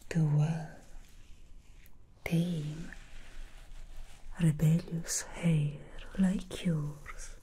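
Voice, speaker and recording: A woman's voice: low-pitched, flat delivery, drawn-out words, pauses often, dark tone, a little hiss or hum. A woman playing a sly, aloof female demon hair stylist in an ASMR roleplay, whispering and teasing, disdainful of mortals.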